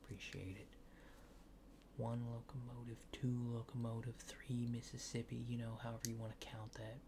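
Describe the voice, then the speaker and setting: A man doing a soft-spoken ASMR roleplay, hushed and often near a whisper, with hesitant, polite, clinical phrasing. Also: medium pitch, level tone, normal speed, background noise. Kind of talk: soft-spoken ASMR roleplay as an alien abductor examining a subject.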